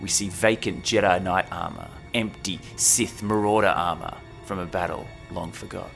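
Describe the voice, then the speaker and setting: A man's voice: low voice, steady tone, moderate pace, vocal fry, fairly bright tone, faint music behind. A man co-hosting a podcast, telling a story in an informal, matey, loosely phrased way. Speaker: podcast co-host. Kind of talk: casual storytelling chat.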